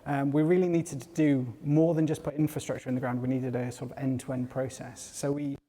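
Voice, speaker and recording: A man with a softened Geordie accent, speaking as a panel guest in a measured, explanatory way with many ums. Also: mid-range voice, level tone. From North East England. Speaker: panel guest, company head. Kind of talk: panel talk. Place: large hall with an audience. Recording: long hall reverb.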